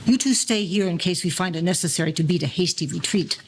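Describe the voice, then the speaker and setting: A man's voice: high voice, quick pace, heavy background noise. A man playing a cautious, practical gangster boss in a 1940s audio play, bossy, speaking in formal slang. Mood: assured. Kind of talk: audio play character acting.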